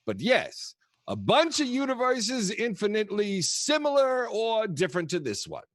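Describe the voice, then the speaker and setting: A man's voice: high voice, wildly swooping pitch, moderate pace, a bit bright, no echo, clean studio sound, full character voice. An actor playing a kooky, affectionate old grandfather who rambles and mutters theatrically in a comic play. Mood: rambling, philosophical. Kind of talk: comic stage monologue and dialogue.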